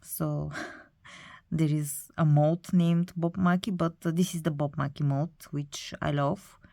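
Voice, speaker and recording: A Bulgarian-accented woman in her thirties talks slowly and chattily about her dolls, pausing often with hesitant fillers. Her voice sits a little low, and the recording is dry and close. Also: vocal fry, dry recording. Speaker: doll collector vlogger. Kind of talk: casual hobby vlog narration.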